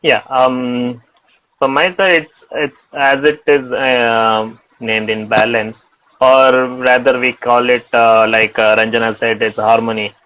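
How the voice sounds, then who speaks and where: A man, a working father, speaking as a panel guest, halting with frequent pauses and restarts. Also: medium pitch, little pitch movement, pushed and bright, rough recording. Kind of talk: casual panel talk.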